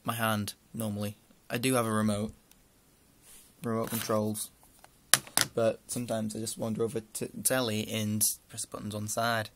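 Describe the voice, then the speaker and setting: A man's voice: on the low side, little pitch movement, moderate pace, a little hiss or hum. A young man with a northern English accent, chatting casually through a list of questions into a close webcam in a small room, rambling with dry asides. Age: young adult. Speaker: vlogger.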